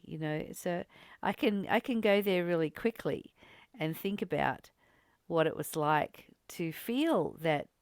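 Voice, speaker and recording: Woman leading a spiritual study chat, clear smooth voice, close dry sound, encouraging and reassuring. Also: on the low side, no room sound. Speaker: study group host. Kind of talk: reflective spiritual study discussion.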